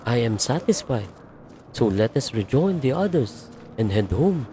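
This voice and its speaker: Slow, solemn gentleman adventurer. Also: medium pitch, sing-song. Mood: courteous, resolved. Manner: formal, deliberate, archaic politeness. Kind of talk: video game voice acting.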